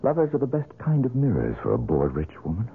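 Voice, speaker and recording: An actor playing a bitter, brooding, tormented painter in 1970s radio drama style, sardonic and given to dramatic pauses. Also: male voice, mid-range voice, huge pitch swings, slight background noise. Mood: bitter reminiscence. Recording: narrow-band old radio recording, music.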